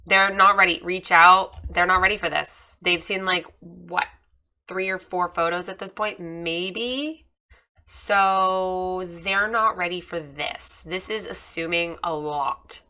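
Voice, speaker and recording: A woman with a slightly hoarse voice after losing it, giving a candid, chatty review of a photographer's website with many fillers. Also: lowish voice, slow, sharp tone. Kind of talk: casual screen-share critique.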